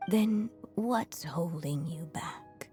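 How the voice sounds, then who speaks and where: A slow, gentle storyteller mother. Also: fairly low pitch, lively intonation. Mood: gentle encouragement. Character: gentle dying mother, a writer's magical echo. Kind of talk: dramatic video game voice acting. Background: background music.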